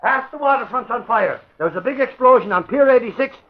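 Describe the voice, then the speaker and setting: An actor playing a brash male newspaper reporter in 1940s radio drama, brisk and bossy. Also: very high-pitched, lively intonation. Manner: brisk, bossy newsroom talk. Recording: muffled old radio broadcast.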